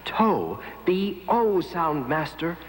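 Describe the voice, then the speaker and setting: A man's voice: on the high side, sing-song, slow. A man playing an earnest, naive martial-arts pupil in children's TV comedy, deferential and stilted, dropping little words. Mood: earnest, puzzled. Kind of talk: theatrical children's educational TV comedy. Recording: reverberant hall, faint noise.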